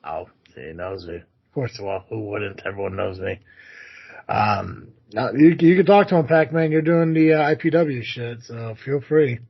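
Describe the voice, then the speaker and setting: Sarcastic, swearing male co-host, husky mid voice, lo-fi sound. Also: mid-range voice, normal speed. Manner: sarcastic, profane. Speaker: podcast co-host. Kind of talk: casual chat. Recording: dull lo-fi podcast audio.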